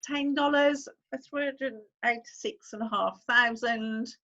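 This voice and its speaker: A woman's voice: a little high, a little slow, sharp tone, highs cut off. A woman, hosting a casual screen-share tutorial, chatting as she clicks through steps and reads on-screen text aloud. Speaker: online-income YouTuber host.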